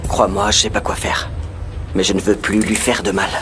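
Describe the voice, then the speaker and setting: A man's voice: fairly low pitch, level tone, moderate pace, lightly acted. A man acting a conflicted, jealous younger brother in a French-dubbed TV soap. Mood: troubled. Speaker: dubbing actor. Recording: music under the dialogue.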